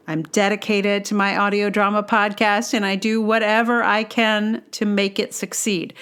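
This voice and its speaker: A woman hosting a podcast, reading her own personal essay aloud in a measured, deliberate way with casual asides. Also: mid-range voice, a bit bright. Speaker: podcast host and writer.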